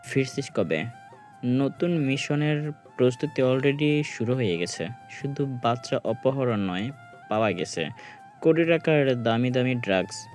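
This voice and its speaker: An actor playing an army major in an audio story, talking in a serious, official way. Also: male voice, mid-range voice, lively intonation, unhurried, a little mellow, natural voice. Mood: serious, urgent. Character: army major briefing a subordinate on a mission. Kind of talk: acted dialogue in an audio story. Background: background music.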